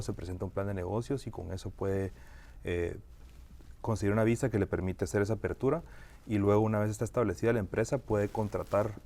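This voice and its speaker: A man from Guatemala, an immigration lawyer, answering interview questions in a measured way with frequent fillers and restarts. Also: deep voice, mellow, a little hiss or hum.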